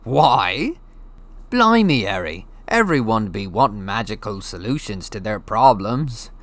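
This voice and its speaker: A man reading an audiobook, voicing a gruff, hearty, friendly giant with rough, folksy, blustery speech. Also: on the low side, big pitch swings, a little slow, fairly bright tone, no echo. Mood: grumbling, blustery. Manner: folksy, blustery, dropped grammar. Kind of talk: audiobook character voice.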